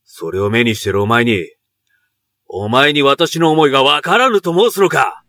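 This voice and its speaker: An imperious samurai lord, bright and forceful. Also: male voice, medium pitch, lively intonation, normal speed. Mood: stern, guarded. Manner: archaic, imperious samurai speech. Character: proud middle-aged noble commander, anguished father of grown son. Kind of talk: Japanese period voice drama acting.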